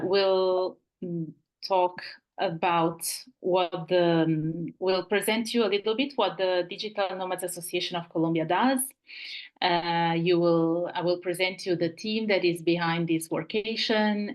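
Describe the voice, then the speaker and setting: A woman from Italy with an Italian accent, hosting and presenting an online webinar over a video call, halting and restarting as she searches for words. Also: lowish voice. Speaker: webinar host and project manager.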